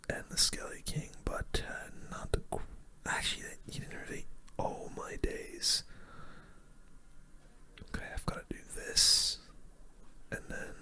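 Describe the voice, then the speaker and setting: A person giving ASMR gameplay commentary in a breathy whisper, using casual gamer slang. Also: bright, forceful tone. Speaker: gaming video host.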